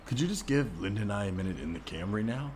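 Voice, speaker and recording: A man's voice: on the low side, lively intonation, fast, darkish tone, natural voice. Guy doing a fed up jealous husband, improv comedy, terse, voice swings up and down, faint noise. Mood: exasperated. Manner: terse, insistent. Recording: background ambience noise, remote recording.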